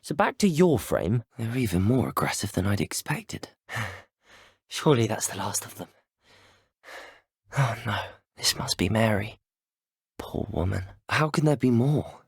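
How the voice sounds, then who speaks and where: A man's voice: lowish voice, very animated, a little slow, vocal fry, pauses often, husky, no room sound, studio quality, full character voice. A young man with a British accent playing a polite, earnest, inquisitive teenage student wizard in a video game, courteous and well-spoken. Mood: grave, wary. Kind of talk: scripted video game dialogue voice lines.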